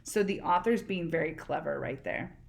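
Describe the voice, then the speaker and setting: A woman reading a picture book aloud expressively, pausing to explain words and ask questions. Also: deep voice, creaky. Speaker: read-aloud storyteller, teacher.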